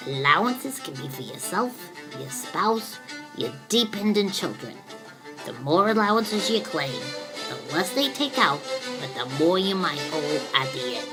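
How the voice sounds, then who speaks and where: A woman playing a patient, motherly explainer who gently corrects and teaches in a storybook skit. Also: sits low, sing-song, a little slow, over music. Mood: concerned.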